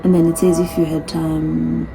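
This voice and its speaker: A woman's voice: sits low, little pitch movement, moderate pace, creaky, mellow, a little hiss or hum, poor audio. A woman in her thirties chatting casually through a get-to-know-me question list, often repeating her answers. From from a different continent. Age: 30s. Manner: chatty, repeats herself, reads questions aloud. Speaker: vlogger. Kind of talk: casual Q&A vlog. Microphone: phone.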